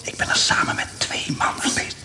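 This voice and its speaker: An actor in a radio play as a condemned prisoner, whispering a secret in a breathy, creaky voice. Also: male voice, quick pace, over music, lightly acted. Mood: secretive, strained.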